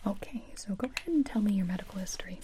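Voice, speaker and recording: A woman's voice: medium pitch, racing, noisy background, natural voice. A woman whispering as a brusque cardiologist. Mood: deadpan whisper. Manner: deadpan, brusque. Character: blunt female cardiologist. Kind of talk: comedic ASMR roleplay.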